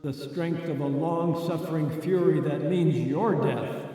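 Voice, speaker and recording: A man playing a mysterious, commanding avenger who taunts deliberately and ominously in a 1930s-style radio drama. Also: fairly high pitch, fairly even intonation, warm tone, lightly acted. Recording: very echoey hall, distant mic.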